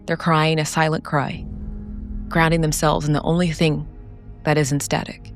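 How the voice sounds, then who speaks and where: A woman narrating a scripted, dramatic solo role-playing story in a solemn, deliberate way with short phrases. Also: low voice, level tone. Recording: background music under the voice.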